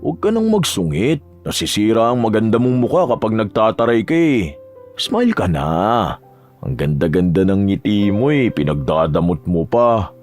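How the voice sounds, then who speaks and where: A man's voice: lowish voice, big pitch swings, moderate pace, darkish tone, faint music behind. Cocky, teasing young man acted by a man. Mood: coaxing, teasing. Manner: teasing, sweet-talking. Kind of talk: Tagalog romance audio drama acting.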